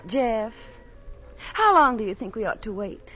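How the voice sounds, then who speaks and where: A woman's voice: a little high, big pitch swings, measured pace, faint music behind. An actress playing a cold, scheming woman blackmailer in a vintage radio crime drama, coaxing and sweetly manipulative. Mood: smug, taunting. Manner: coaxing, calls people darling and honey. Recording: muffled old radio recording.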